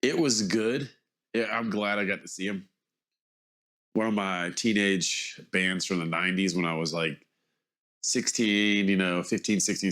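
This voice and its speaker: A man in his forties with a low-pitched, slightly stuffed-up voice. He talks casually to his vlog audience, hesitating and correcting himself as he works his way around to a point. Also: fairly bright tone. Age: 40s. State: mildly congested. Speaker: vlogger. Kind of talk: casual rambling monologue to camera.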